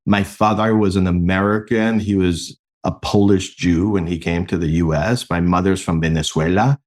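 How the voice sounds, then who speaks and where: A middle-aged man from Mexico, a podcast guest and founder, explaining his work in an animated, earnest way with a few restarts. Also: low-pitched, normal speed. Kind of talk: conversational interview answers.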